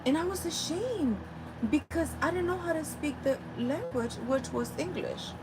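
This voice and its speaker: A woman's voice: high-pitched, moderate pace, background noise. A woman from El Salvador with a Salvadoran Spanish accent, a native Spanish speaker, teaching about spiritual healing and talking straight to her listeners. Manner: animated, addresses listeners directly. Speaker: spiritual healer.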